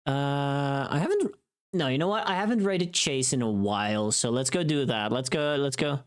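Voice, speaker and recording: Livestreamer, mid-range pitch, vocally tired, informal and rambling chat delivery. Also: normal speed. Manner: rambling, informal, mildly sweary. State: tired voice from long recording.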